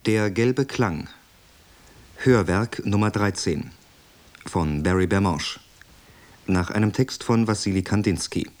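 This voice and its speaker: Casting: male announcer, lowish pitch, very slow formal credit reading. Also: a little mellow.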